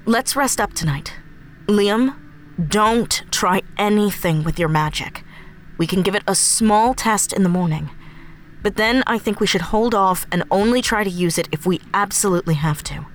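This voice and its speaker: A woman playing a practical, level-headed team leader in a fiction podcast, speaking in a measured, deliberate way. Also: mid-range voice, slight background noise, natural voice. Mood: businesslike.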